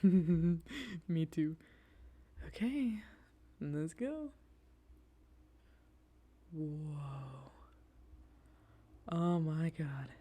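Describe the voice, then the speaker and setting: A woman acting an excitable, reassuring young girlfriend on a date in an audio roleplay, talking casually to the listener with hesitant asides and eager repetition. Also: on the low side. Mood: relaxed, amused, then excited, awed.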